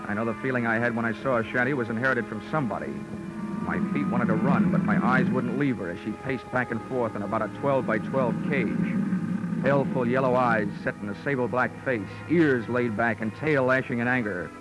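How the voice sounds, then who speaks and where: Actor as dry, wry American radio detective. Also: male voice, medium pitch, a little fast, muffled recording, loud music behind the voice, close to an everyday voice. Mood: sardonic. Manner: dry, sardonic. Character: wry, hard-boiled male insurance investigator. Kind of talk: 1940s radio acting, first-person narration. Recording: old 1940s radio transcription.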